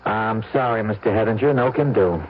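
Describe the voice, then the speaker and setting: A man's voice: on the low side, a little fast, close to an everyday voice. An American man acting in an old-time radio drama as a scheming young husband, stammering and repeating himself as he coaxes. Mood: defiant. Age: young adult. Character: scheming young husband, nervy, coaxing, smooth-talking. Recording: muffled old radio broadcast.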